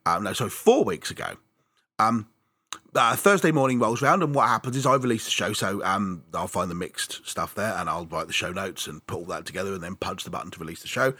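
A man with a bright, lively voice that swings up and down as he chats, stumbling over restarts and laughing at himself. He sits close to a dry mic. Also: low voice, breathy edge, no room sound. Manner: chatty, self-deprecating, laughs often, halting restarts. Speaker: podcast co-host. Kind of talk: casual chat.

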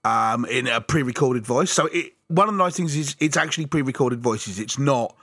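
A man co-hosting a casual podcast chat, self-deprecating and quick to laugh, with halting restarts. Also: mid-range voice, expressive, pushed and bright, dry recording, studio quality. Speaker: podcast co-host.

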